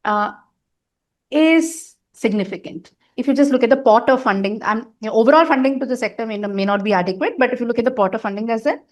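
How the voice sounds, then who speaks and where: A woman's voice: a little high, fast talker. Middle-aged lady guest, chatty and emphatic, lots of um and you know. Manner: talkative, emphatic, with frequent fillers and restarts. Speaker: interview guest, nonprofit governance advisor. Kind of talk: candid conversational interview.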